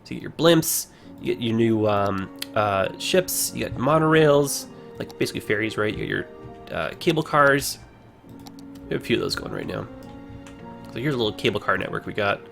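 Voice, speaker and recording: A man casually commenting on a game he plays on a livestream, rambling with many fillers, his voice hoarse as he is losing it. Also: fairly low pitch, lively intonation, normal speed, fairly bright tone, faint music behind.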